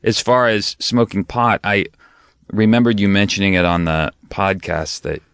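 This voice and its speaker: A man joins a comedy podcast as a guest and speaks in a lowish, deadpan voice. He jokes with flat delivery and halting restarts. The sound is close and dry. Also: clear, resonant tone, dry recording. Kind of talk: casual comedic chat.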